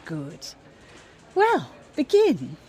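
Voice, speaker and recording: A woman's voice: mid-range voice, huge pitch swings, drawn-out words, warm tone, faint music behind, heavily acted. An actress playing a proud, self-justifying famous woman singer in an audio drama, with a commanding, theatrical manner. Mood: imperious.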